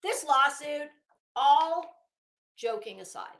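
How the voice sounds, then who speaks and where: A woman's voice: high voice, lively intonation, takes it slowly, creaky, fairly bright tone, echoey room. A woman giving casual, rambling commentary on a document as a channel host, with a blunt, sarcastic, sweary manner. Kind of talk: casual spoken commentary while reading a legal document.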